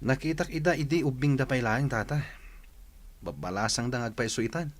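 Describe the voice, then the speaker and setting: An actor with an Ilocano accent playing a young village bachelor in a radio drama. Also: male voice, mid-range voice, moderate pace, natural voice. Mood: curious. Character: young bachelor nephew, interested in local girls.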